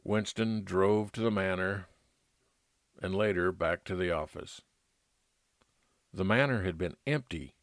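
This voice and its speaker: A very low male voice tells a private eye's story in the first person. The narration is scripted old-time radio drama, recorded close and dry. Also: no echo. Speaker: narrator playing a private investigator.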